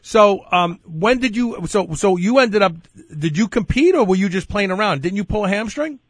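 A man with a clearly high-pitched voice hosts a casual interview. He is informal and enthusiastic, and his voice is recorded dry. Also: lively intonation, normal speed, no room sound. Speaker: interview host. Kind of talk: casual chat and questioning.

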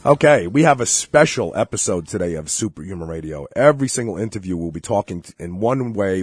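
A sick-sounding man hosts a radio health show, hoarse and husky. He talks in a chatty, emphatic way, full of fillers, and the sound is close and dry. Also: lowish voice, no echo. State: hoarse from illness. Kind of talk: casual radio talk.

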